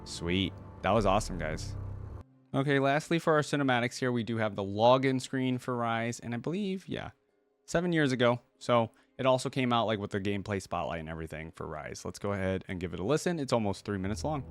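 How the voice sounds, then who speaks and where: A man's voice: mid-range voice, expressive, brisk. A man hosting a reaction video, talking informally and thinking aloud as he comments and reads bits off the screen. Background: music from the video being watched.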